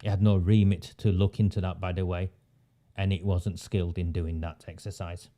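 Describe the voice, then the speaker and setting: A man's voice: low voice, flat delivery, moderate pace, mellow. A man from Oldham gives a political commentary monologue. He works through his points methodically, repeating them, asking rhetorical questions, and adding dry sarcastic asides. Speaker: local campaigner and commentator.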